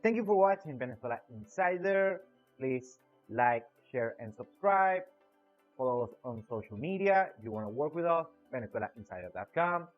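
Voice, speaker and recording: A man, the channel host, giving a quiet, measured closing sign-off. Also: medium pitch, animated. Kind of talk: outro. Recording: dull sound with music behind.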